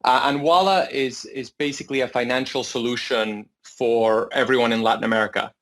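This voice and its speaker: A man's voice: mid-range voice, steady tone, fairly quick, fairly bright tone, a little hiss or hum. Argentine man explaining, mid-range voice. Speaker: startup founder, interview guest. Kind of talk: interview answer, explanatory.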